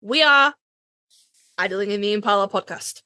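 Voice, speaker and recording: A woman's voice: mid-range voice, moderate pace, bright, forceful tone, no echo, studio quality. A British woman co-hosting a podcast in a casual, chatty and freely sweary way. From United Kingdom. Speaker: podcast co-host. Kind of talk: casual fandom podcast chat.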